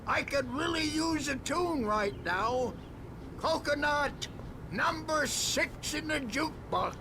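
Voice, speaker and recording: A needy old man in a video game, with an extremely high voice and slow, drawn-out words. There is clear noise behind him. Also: lively intonation, exaggerated voice. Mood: needy, insistent.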